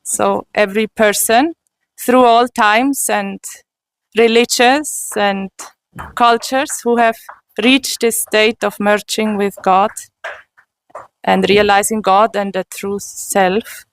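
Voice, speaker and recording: A woman with a German accent speaks slowly and earnestly, often pausing to find her words. Her voice is smooth and clear, recorded dry and close with no room sound. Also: medium pitch, dry recording, rough recording. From Switzerland. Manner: slow and earnest, with frequent pauses and restarts. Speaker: interviewee. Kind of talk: personal testimony.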